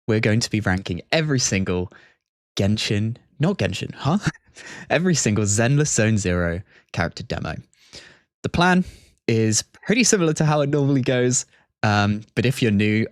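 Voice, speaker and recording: A man with a fairly low voice hosts a livestream in casual, methodical explanation. The sound is close and dry, with very little room around him. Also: lively intonation, normal speed, no echo. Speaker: livestream host. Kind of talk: casual scripted-free stream commentary and explanation.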